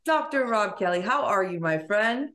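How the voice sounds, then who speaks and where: A woman's voice: medium pitch, expressive, normal speed, a bit bright. A woman hosting a podcast interview, effusive and full of enthusiastic praise. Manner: effusive, gushing. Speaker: podcast host. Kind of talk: casual interview.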